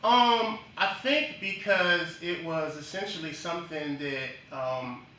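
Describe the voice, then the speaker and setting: A man from Jamaica, a guest in a casual interview, speaking in a measured way with frequent ums and uhs. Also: on the high side, pushed and bright. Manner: measured, many ums and uhs, lists achievements. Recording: echoey room from a distance.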